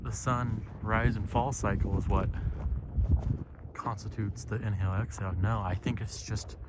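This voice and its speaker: A man's voice: lowish voice, fairly even intonation, normal speed. A man thinking aloud in an unscripted, rambling, reflective way while walking outdoors. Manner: musing, rambling, often checking himself with "right?". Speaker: solo audio diarist. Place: outdoors, walking on a levee at sunset. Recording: very noisy outdoor ambient recording. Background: loud outdoor ambience, birds.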